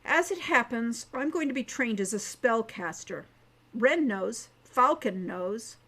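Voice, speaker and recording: A woman voicing a self-assured, irritable teenage boy wizard in an audiobook, soft and matter-of-fact. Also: a little high, expressive, measured pace, heavily acted. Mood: irritated. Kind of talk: audiobook character voice.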